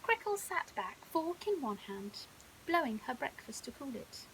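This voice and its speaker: A woman's voice: high-pitched, very animated, unhurried, fairly bright tone, low-quality recording. A woman narrating a children's bedtime story expressively, like a primary school teacher reading aloud. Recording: hissy background noise.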